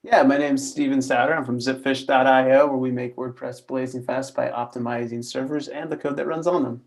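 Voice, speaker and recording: A man's voice: mid-range voice, steady tone, brisk. A man co-hosting a podcast, chatting casually and peppering his speech with "like.". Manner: casual, uses "like" a lot. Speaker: podcast co-host.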